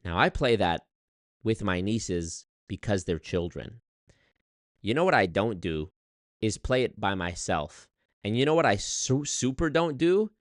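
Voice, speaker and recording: A man telling a story on his livestream in an animated, exasperated way, swearing often and reading chat. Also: fairly low pitch, a little slow, no echo, clean studio sound. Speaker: streamer. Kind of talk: casual livestream rant.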